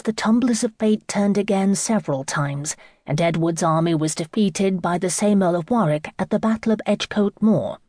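A woman reading a scripted history audiobook in a measured, formal storytelling manner. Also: lowish voice, dark tone, no room sound, clean studio sound. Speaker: audiobook narrator.

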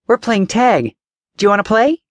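A bright, sing-song little boy, put on by a man narrating a story, chirpy and polite with a strange, eerie innocence. His high voice swings widely up and down, and it is recorded close and dry. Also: animated, moderate pace, dry recording. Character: cheerful eerie little boy with an imaginary friend.

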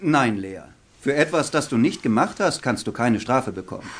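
A man's voice: medium pitch, lively intonation, normal speed. A man playing a patient, good-natured schoolteacher in a children's audiobook comedy, speaking in a hesitant, questioning classroom way. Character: patient, good-natured male schoolteacher fielding pupils' jokes. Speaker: teacher in an audiobook sketch.

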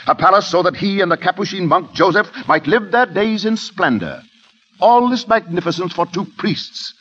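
An actor playing a sly, deferential count with a courtly, insinuating manner in old radio drama style. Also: male voice, high voice, lively intonation, normal speed, a bit bright, slightly rough, exaggerated voice. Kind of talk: 1930s-40s radio drama acting. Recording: dull vintage radio recording.

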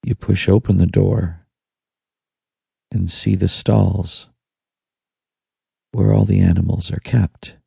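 Very slow, gravelly, low-voiced man. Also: level tone, dry recording. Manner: slow, soothing, hushed delivery with long pauses. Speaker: audiobook narrator. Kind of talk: guided meditation, scripted narration.

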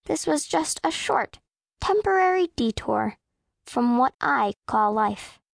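A woman's voice: sits high, expressive, slow pace, creaky, exaggerated voice. A woman voicing a proud, self-possessed eleven-year-old girl who narrates a children's audiobook in a precise, composed, matter-of-fact way. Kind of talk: first-person audiobook narration of children's fiction.

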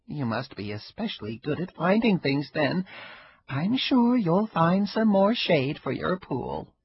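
A stubborn, sharp-tongued old grandmother, as a male storyteller puts her on, speaking in a husky, breathy voice pitched high with lively swoops. The sound is dull and lo-fi. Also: a little slow, full character voice. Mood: tart. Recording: dull lo-fi recording.

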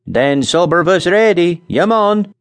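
A man voicing a slow, solemn Scandinavian immigrant farmer who speaks halting broken English with a Scandinavian accent. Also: sits high, expressive, voice pushed up. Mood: plain, solemn.

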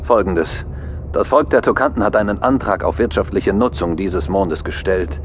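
A brisk, businesslike official in a sci-fi radio play, acted by a man with a low voice. His voice sounds dull and muffled, like a transmission. Faint noise hangs behind it. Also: natural voice. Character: businesslike male official calling by video link. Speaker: planetary commission official.

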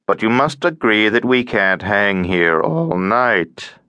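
A man's voice: on the low side, sing-song, slow, sharp tone, no room sound, heavily acted. A man voicing a cheerful, tiresome, garrulous elderly Russian émigré in an audiobook, droning on with over-familiar chattiness. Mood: musing, insistent. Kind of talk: audiobook character acting.

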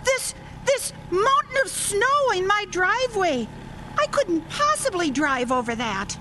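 High-pitched, haughty old widow. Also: female voice, big pitch swings, measured pace, background noise, heavily acted. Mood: outraged, demanding. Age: older. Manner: imperious, demanding, easily affronted. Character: haughty, indignant old widowed mother-in-law and grandmother. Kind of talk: radio drama acting.

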